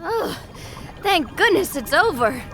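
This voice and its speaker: A woman's voice: extremely high pitch, very animated, takes it slowly, full character voice. A woman voicing a keen, curious young woman in an anime game, with an eager, playful manner. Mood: relieved.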